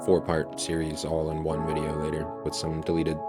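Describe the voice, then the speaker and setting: A man's voice: rumbling low, monotone, moderate pace. A man hosting a channel, giving a casual, unscripted update in a loose, rambling way. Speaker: channel host. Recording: loud music under the voice.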